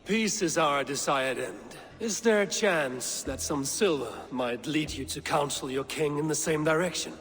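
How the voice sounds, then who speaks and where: A level-headed Viking warrior in a video game. He speaks firmly and gravely in a slightly high male voice. The sound carries the reverb of a hall. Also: unhurried, few pauses, fairly bright tone, soft background music. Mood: steady, grave. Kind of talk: dramatic video game acting.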